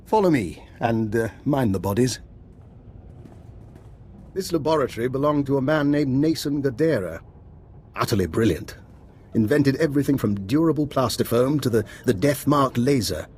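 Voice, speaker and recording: An actor playing a polite, erudite scientist-spy in a video game, with formal, measured phrasing. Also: male voice, medium pitch, animated, a little mellow, slight background noise, natural voice. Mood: musing, admiring. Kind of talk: dramatic game dialogue.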